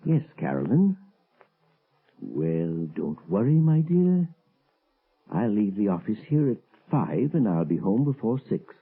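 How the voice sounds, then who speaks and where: A shifty, nervous professor in a vintage radio mystery. His low voice is very rough and husky, swinging through evasive hesitations and brusque bluster, on a muffled old recording. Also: big pitch swings, measured pace, exaggerated voice. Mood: wary. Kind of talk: 1930s-40s radio mystery acting.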